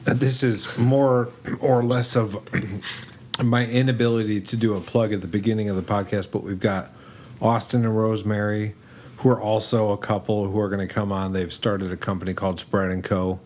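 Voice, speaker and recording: A man hosts a relaxed podcast chat, his voice sitting a little low. He jokes around and swears casually. Faint background hiss runs under the talk. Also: normal speed. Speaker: podcast host.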